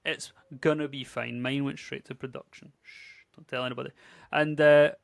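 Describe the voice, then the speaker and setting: Scottish guy in his 30s, tutorial host, chatty and upbeat, bit of fry, dry close sound. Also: mid-range voice, level tone, normal speed, no echo. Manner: chatty, upbeat, conversational with filler words. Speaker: tech YouTuber. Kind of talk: casual screen-share tutorial.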